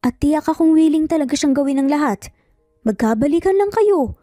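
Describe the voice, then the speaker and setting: A woman voicing a cheerful, nosy older sister in an audio story, asking animated, encouraging questions. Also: sits high, moderate pace, dry recording, studio quality, faint music behind, voice pushed up.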